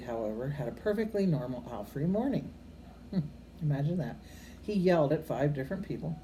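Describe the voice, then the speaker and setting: A woman's voice: low-pitched, poor audio. An older woman reading a storybook aloud to children like a grandmother, expressively and with a homely, unpolished delivery. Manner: expressive, occasionally stumbling over words and correcting herself. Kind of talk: read-aloud storytelling with informal asides to children. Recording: amateur recording with faint background noise.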